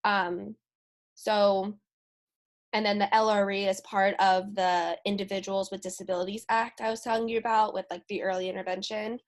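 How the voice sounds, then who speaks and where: A woman's voice: mid-range voice, moderate pace. An American woman, a special education teacher, talking casually and peppering her speech with "like". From United States. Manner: speaks in a flat, even tone with frequent "like". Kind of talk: casual conversation.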